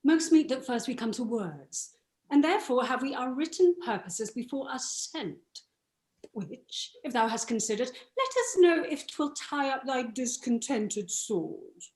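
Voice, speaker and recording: A woman playing a composed, formal ruler negotiating with measured words in a Shakespearean radio play. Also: sits high, animated, darkish tone, reverberant. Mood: formal, firm. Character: formal, composed young ruler Caesar negotiating before battle.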